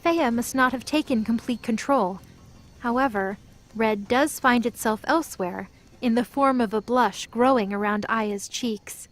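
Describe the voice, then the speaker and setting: A woman with a somewhat high voice narrates a scripted story. She reads in the present tense as the narrator of an audio drama, with music underneath her. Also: measured pace, rough recording.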